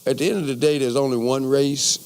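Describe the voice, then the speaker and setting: A man's voice: mid-range voice, steady tone, brisk, darkish tone, low-quality recording. Preachy, rhetorical male guest. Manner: preachy, rhetorical, builds up points. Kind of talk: talk show discussion.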